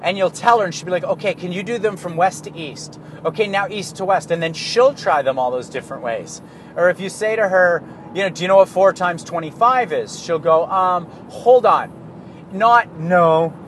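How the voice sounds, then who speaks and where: A man's voice: high-pitched, moderate pace, a bit bright. A Canadian man talking in a casual, rambling monologue, swearing freely and laughing at himself. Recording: street and car noise behind.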